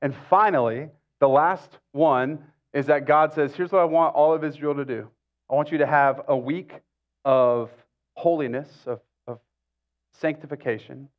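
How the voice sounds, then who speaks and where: Higher-pitched American man preaching casually. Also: moderate pace, muffled recording. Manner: casual, with repetition and rhetorical asides. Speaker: pastor. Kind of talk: conversational sermon. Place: stage of a church auditorium.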